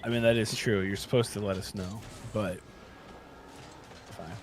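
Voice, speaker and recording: A man's voice: on the low side, fairly even intonation, moderate pace, a little hiss or hum. A man co-hosting a morning show in a casual, playful style of banter and news reading.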